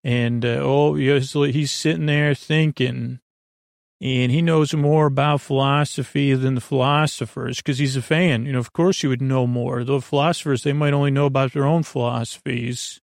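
A man hosting a bedtime podcast in a meandering, halting monologue, trailing off mid-sentence and gently reassuring the listener. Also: mid-range voice, steady tone, vocal fry, dry recording, clean studio sound. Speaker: podcast host.

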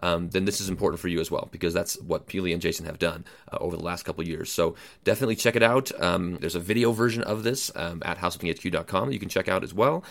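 Deep-voiced guy rattling off a podcast intro, quick and salesy. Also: vocal fry, a bit bright. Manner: brisk and promotional. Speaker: podcast host.

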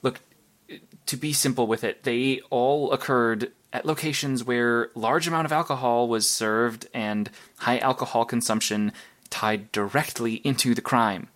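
An earnest actor's take on a male superhero detective from an audio drama. He speaks at a middle pitch in a halting, analytical manner, with nervous conviction. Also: fairly even intonation, a bit bright, natural voice. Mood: nervous, insistent. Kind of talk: naturalistic audio drama monologue and phone calls.

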